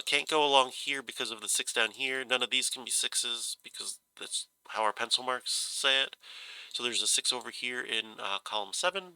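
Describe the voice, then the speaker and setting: Brief: congested man solving a puzzle aloud, bright gravelly tone, dry close sound, halting and self-correcting. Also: medium pitch, no echo, low-quality recording. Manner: hesitant, musing, self-correcting. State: stuffy nose, slightly scratchy voice from a cold. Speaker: puzzle solver.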